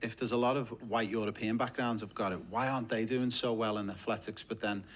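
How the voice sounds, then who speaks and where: A man's voice: on the low side, fairly even intonation, quick pace, a little hiss or hum. A man hosting a casual interview, asking conversational questions and often giving short agreeing replies. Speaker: interviewer, coach.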